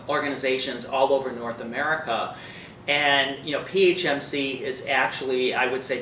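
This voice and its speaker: A man's voice: pushed and bright, distant mic. A man, a consultant who works with many organizations, speaking in an upbeat, persuasive way in an interview. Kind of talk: interview talk. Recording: echoey room, off-mic, faint rumble.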